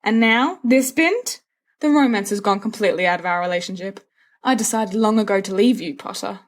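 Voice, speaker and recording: A woman's voice: medium pitch, brisk, close to an everyday voice. A woman voicing a mischievous, dramatic teenage wizard schoolboy with a teasing, theatrical delivery in an audiobook-style story. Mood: theatrically wounded. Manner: theatrical, teasing, flowery speech.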